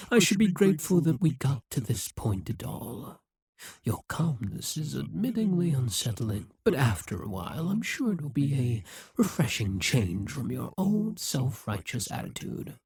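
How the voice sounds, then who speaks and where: A man voicing a possessive, scheming demon king in an audio roleplay, speaking slowly and condescendingly. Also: mid-range voice, a little mellow, husky, no room sound, studio quality, exaggerated voice. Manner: condescending, slow and musing.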